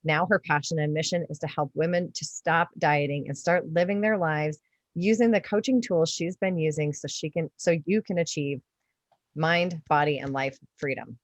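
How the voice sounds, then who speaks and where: A woman podcast host with a slightly low voice, speaking casually and with effusive emphasis. She sounds enthusiastic as she interviews, in a dry recording with very little room sound. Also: moderate pace, dry recording.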